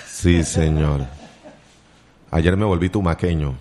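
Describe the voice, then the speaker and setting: Deep-voiced chatty Colombian radio host. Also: male voice, fairly even intonation, takes it slowly, crowd noise. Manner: joking, chatty, teasing asides. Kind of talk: casual morning radio chat. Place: radio studio.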